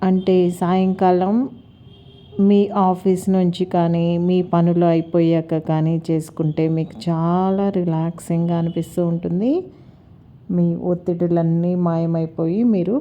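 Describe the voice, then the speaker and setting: Calm low-pitched woman guiding meditation. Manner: calm, soothing, measured with long pauses. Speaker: yoga and meditation teacher. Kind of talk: guided meditation instruction.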